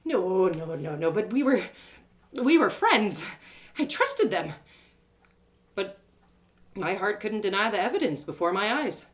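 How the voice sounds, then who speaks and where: A woman's voice: medium pitch, very animated, a little slow, pauses often. A woman voicing a naive, plucky young female adventurer telling her own story in an audio drama, dramatic and exclaiming. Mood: hurt disbelief. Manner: dramatic, exclaiming, stammering in distress.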